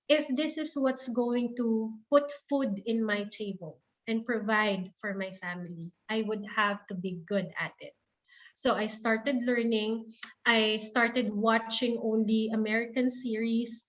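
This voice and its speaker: A woman's voice: fairly high pitch, a bit bright, rough recording. A woman with a Filipino accent, not a native English speaker, giving an earnest career talk to students and often restarting her words. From Philippines. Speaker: guest speaker.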